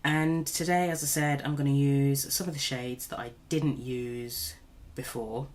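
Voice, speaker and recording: A woman's voice: rumbling low, steady tone, measured pace, darkish tone. A woman recovering from a cold, slightly nasal, chatting in a rambling, self-deprecating way while doing her makeup at home. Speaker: beauty vlogger. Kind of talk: casual makeup tutorial chat.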